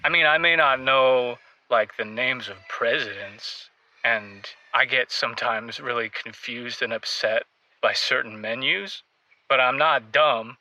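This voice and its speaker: Bright, forceful, dim himbo caller. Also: male voice, mid-range voice, measured pace, rough recording. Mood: defensive, unsure. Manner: naive, emphatic, literal. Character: dim, earnest, eager himbo radio caller. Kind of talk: scripted comedy acting.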